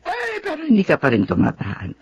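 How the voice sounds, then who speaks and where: A woman's voice: high-pitched, huge pitch swings, brisk, dull audio, exaggerated voice. A woman with a Filipino accent playing an affectionate, motherly character in a radio drama, speaking expressively. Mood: fond, nostalgic. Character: affectionate, encouraging Filipina mother, often ailing.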